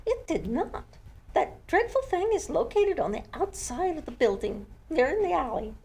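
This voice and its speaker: Woman playing a fluttery, high, creaky society lady. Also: big pitch swings, moderate pace, a little mellow, heavily acted. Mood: flustered, aloof. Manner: fluttery, confused, haughty. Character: flustered, aloof wife who owns an art gallery. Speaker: amateur radio club performer. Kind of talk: old-time radio mystery acting.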